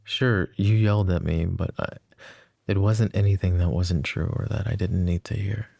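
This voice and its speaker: A man voicing a lovesick, possessive young mafia boss in an ASMR roleplay, speaking softly and intimately, often close to a whisper. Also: sits low, fairly even intonation, moderate pace, creaky, no echo. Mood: tender, yearning. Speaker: voice actor.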